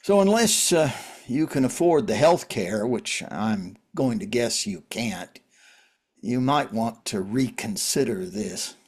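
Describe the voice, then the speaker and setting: A man playing a world-weary, wry survivor in a drama reading, talking in a halting, conversational way. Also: medium pitch, expressive, a little mellow, husky. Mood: dry, matter-of-fact.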